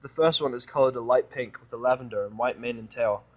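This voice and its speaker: A man's voice: mid-range voice, fast, dull audio. A man reads a first-person story aloud. His voice sits in a middle register. The narration is informal and a little wry. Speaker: fanfic reader and narrator.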